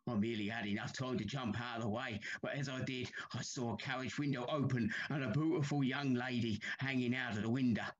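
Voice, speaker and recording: A man's voice: mid-range voice, dull audio, close to an everyday voice. An actor playing a haunted, troubled railway signalman in a period audio drama, telling his tale with grave urgency.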